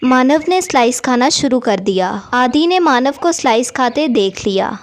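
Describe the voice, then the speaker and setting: A woman's voice: high voice, expressive, normal speed. A woman reading scripted narration for a children's cartoon story.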